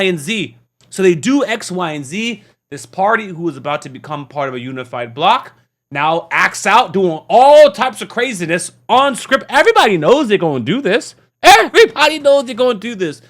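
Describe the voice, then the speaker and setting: A man's voice: high-pitched, fairly bright tone. A man hosting a reaction video, talking in a preachy, earnest way, often restarting and stammering. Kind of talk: casual rambling monologue.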